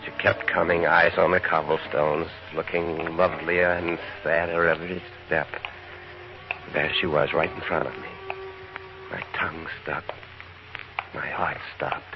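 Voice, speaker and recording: A brooding, tender narrator from a vintage radio drama, his voice extremely deep and hushed. Music drifts beneath the words, and the old broadcast sounds muffled and dull. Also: steady tone, measured pace. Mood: hushed longing. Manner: quiet, wistful, drops to near whisper. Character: lovesick American man, brooding and tender. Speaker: first-person narrator of a radio play. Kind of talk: 1940s-50s radio drama acting.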